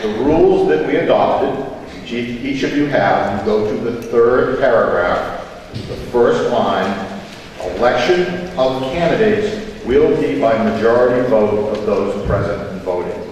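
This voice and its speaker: A man's voice: mid-range voice, animated, a little slow, darkish tone. A man, a state representative, giving a confident formal floor speech citing the rules. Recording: echoey chamber, distant mic, faint noise.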